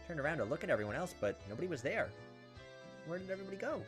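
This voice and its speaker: A man's voice: fairly high pitch, sing-song, very fast, over music. A man reading a visual novel aloud as a let's play host, with casual, crude joking asides.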